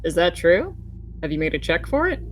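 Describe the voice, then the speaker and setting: A woman's voice: on the low side, sing-song, brisk, slight background noise. A woman chatting casually as a player in a tabletop role-playing game.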